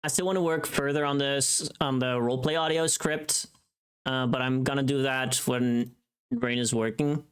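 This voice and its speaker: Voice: mid-range voice, steady tone, moderate pace, smooth. A streamer chatting casually on a livestream in a playful, teasing, sweary way.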